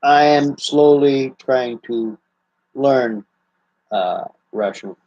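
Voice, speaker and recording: Fifty-something american guy rambling on a webcam stream at home, chill and halting, kinda muffled sound. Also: mid-range voice. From United States. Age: 50s. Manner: relaxed, halting, many restarts and fillers. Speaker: livestream host answering viewer questions. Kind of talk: casual live Q&A chat. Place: small room at home, seated in armchair indoors. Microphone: webcam at close range. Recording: slightly dull lo-fi webcam audio.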